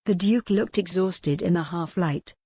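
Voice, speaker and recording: A woman's voice: lowish voice, unhurried, darkish tone, breathy edge, dry recording, studio quality. A woman reading scripted dramatic fiction as an audiobook narrator.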